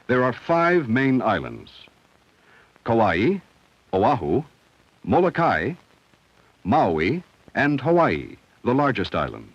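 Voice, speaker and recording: A man reading scripted narration for a 1970 educational film in a measured, instructional, clearly enunciated way. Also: mid-range voice, wildly swooping pitch, dry recording. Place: studio voice-over. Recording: dull, dry vintage film soundtrack.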